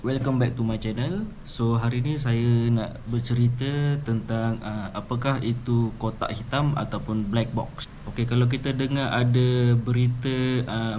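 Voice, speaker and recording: A Malaysian man hosts an informal explainer in an ordinary mid-range voice. He talks conversationally, switching in English terms and tossing in tag questions. Clear background noise sits behind him. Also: mid-range voice, darkish tone, muffled recording. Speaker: YouTube channel host. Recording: clearly noisy background ambience.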